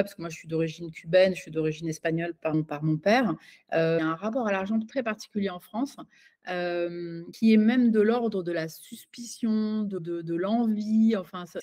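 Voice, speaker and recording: A woman's voice: fairly low pitch, normal speed, darkish tone. A woman giving an interview as a coach and author, talking in a hesitant way with many fillers and restarts. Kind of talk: casual conversational interview.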